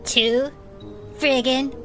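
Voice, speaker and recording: A woman plays a tabletop game, narrating moves and talking tough in character. Her delivery is slow and emphatic, with a bright, forceful, highish voice. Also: fairly even intonation, soft background music. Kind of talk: actual-play roleplay with casual narration.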